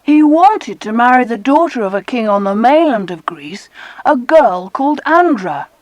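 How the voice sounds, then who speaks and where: High, animated, excitable British child. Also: female voice, normal speed, no room sound. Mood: eager storytelling. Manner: eager, expressive storytelling. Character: excitable, well-spoken children on an island holiday adventure. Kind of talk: dramatized children's audiobook acting.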